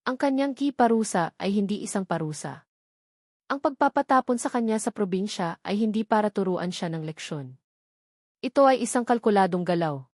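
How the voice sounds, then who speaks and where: Filipino woman, audiobook narrator. Mid-pitched, dry studio sound, dramatic and deliberate phrasing. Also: a little mellow, no room sound. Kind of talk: dramatic scripted story narration.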